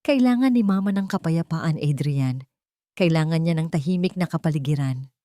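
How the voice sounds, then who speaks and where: A woman voicing a scheming young fiancée in a story, sweetly manipulative, soft, coaxing and insinuating. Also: low voice, normal speed, no echo, lightly acted.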